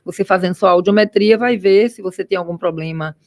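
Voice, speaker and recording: A woman's voice: mid-range voice, a little fast, a little mellow, no echo. An ear doctor, a woman, explains things for a radio audience. She talks in careful, hesitant restarts, and her voice sounds dry and close to the microphone. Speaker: ear, nose and throat doctor. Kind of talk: expert interview guest.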